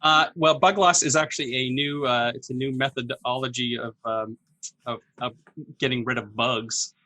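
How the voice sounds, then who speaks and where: Bright-voiced man, halting and casual. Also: mid-range voice, steady tone. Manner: halting, repeating himself. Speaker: comedy game panelist. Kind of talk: casual improvised explanation. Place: home office, video call.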